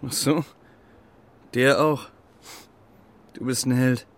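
A man playing an easygoing, teasing pigeon pal in a children's radio play, with gravel in the voice and a clipped, offhand manner. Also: mid-range voice, steady tone, takes it slowly.

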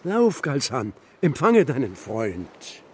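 Husky, grumpy old shepherd. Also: male voice, medium pitch, huge pitch swings, takes it slowly, darkish tone, full character voice. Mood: gentle, advising. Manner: gruff, defiant, terse. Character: grumpy, stubborn old shepherd grandfather of the steppe. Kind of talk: German children's radio drama acting.

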